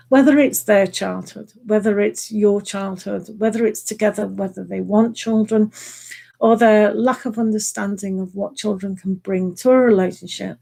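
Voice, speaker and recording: Hoarse, gravelly woman reading tarot. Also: mid-range voice, mellow. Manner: chatty, with filler ums and asides to viewers. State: hoarse, losing her voice. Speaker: tarot reader. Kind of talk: live-stream tarot reading.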